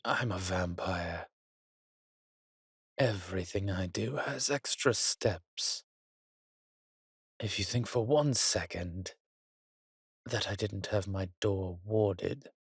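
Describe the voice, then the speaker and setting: An actor playing a weary, wry, courtly vampire in a roleplay audio drama, speaking haltingly to the listener in a refined, slightly pompous manner. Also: male voice, low-pitched, gravelly, breathy edge, dry recording, studio quality, exaggerated voice. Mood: exasperated, wry. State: weakened, recovering.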